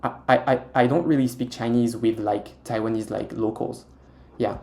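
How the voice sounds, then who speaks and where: A young Frenchman with a French accent answers interview questions in a hesitant, filler-heavy way. His voice is gravelly and mellow, sitting at a middle pitch for a man. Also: steady tone, normal speed. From France. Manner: hesitant, many fillers and restarts. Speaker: interview guest, Mandarin learner. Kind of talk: casual interview chat.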